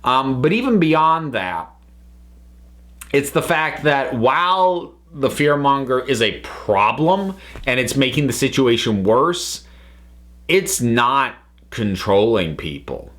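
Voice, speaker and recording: A man's voice: mid-range voice, very animated, slow, a bit bright. A man giving an unscripted review as a commentator, talking analytically and often stopping to rephrase himself. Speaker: reviewer, channel host.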